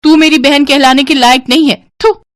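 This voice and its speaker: A woman's voice: high voice, fairly even intonation, normal speed, voice pushed up, lightly acted. A woman voicing a vain, haughty young sister who sneers cruelly, in a cartoon moral story. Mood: spiteful.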